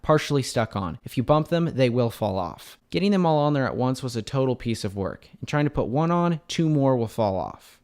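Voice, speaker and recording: A man hosting a hobby building channel, narrating a scripted showcase in an enthusiastic way. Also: medium pitch. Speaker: host of a LEGO building channel.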